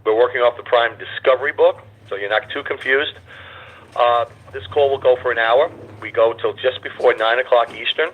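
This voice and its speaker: A hoarse man hosting a conference call, rambling and reassuring, with frequent filler uhs. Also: mid-range voice, moderate pace. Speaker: call host. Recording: tinny phone line.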